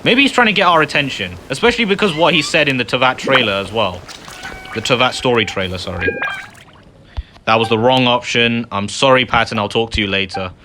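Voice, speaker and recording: A man's voice: mid-range voice, a little fast, background noise. A man giving casual, self-deprecating commentary as he plays through a video game. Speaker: gamer, channel host. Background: game music.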